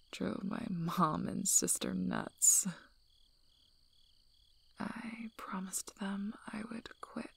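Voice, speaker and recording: A woman playing a guarded, gruff but caring young werewolf alpha in a soft-spoken ASMR roleplay, hesitant and often close to a whisper. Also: medium pitch, exaggerated voice. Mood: wry, confiding. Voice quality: husky, breathy, often near whisper. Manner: hesitant, halting, understated.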